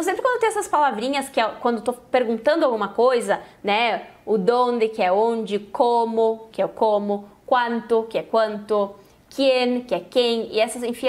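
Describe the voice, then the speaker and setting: High-voiced Brazilian woman teaching. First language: Portuguese. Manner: conversational, instructive, frequently adding check-in tags. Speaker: Spanish teacher. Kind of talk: informal language lesson, explaining and translating example phrases.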